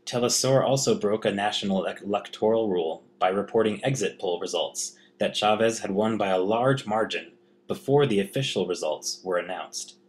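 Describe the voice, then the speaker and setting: A man narrates from a prepared script in a somewhat low voice. The delivery is that of an explanatory narration, read line by line. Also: moderate pace, slight background noise.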